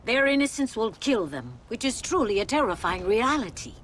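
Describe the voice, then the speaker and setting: A woman's voice: on the high side, sing-song, normal speed, a bit bright. A Mother Superior in a Western game, with a Mexican Spanish accent. Her voice swings expressively as she speaks with dry, articulate piety and composed wit, over faint background noise. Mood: grave, pointed. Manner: wry, articulate, dryly pious. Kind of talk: video game cutscene acting.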